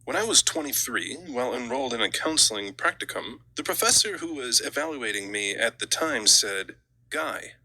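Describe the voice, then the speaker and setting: A man's voice: medium pitch, big pitch swings, normal speed, gravelly, bright, forceful tone, no echo, poor audio. A man in his fifties reading scripted first-person audiobook narration. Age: 50s.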